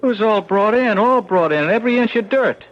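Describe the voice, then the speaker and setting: A man's voice: high-pitched, fairly quick, a little hiss or hum. Blunt jumpy neighbor guy, voice swoops all over, muffled old radio. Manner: blunt, excitable and defensive. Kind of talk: 1970s radio drama acting. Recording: dull lo-fi radio broadcast.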